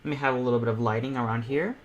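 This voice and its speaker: A man's voice: medium pitch, fairly even intonation, racing, highs cut off. Young man casually thinking aloud. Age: teens to young adult. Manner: rambling, thinking aloud while working. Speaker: livestream host and self-taught painter. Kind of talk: casual painting tutorial livestream.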